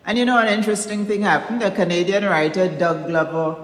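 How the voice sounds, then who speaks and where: A woman from Grenada giving a measured, explanatory prepared talk as an author to a live audience. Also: lowish voice, fairly even intonation. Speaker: author presenting own work. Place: university recital hall. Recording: echoey recital hall.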